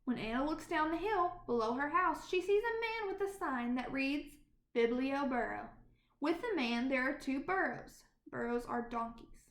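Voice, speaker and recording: High-voiced woman reading a storybook, roomy sound. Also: lively intonation, normal speed, few pauses, fairly bright tone. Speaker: storybook reader. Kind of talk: expressive read-aloud of a children's picture book.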